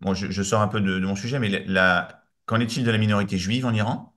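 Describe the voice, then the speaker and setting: A man's voice: fairly low pitch, steady tone, fast talker, a bit bright. A man interviewing a guest, asking hesitant questions. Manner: hesitant questions, brief acknowledgments.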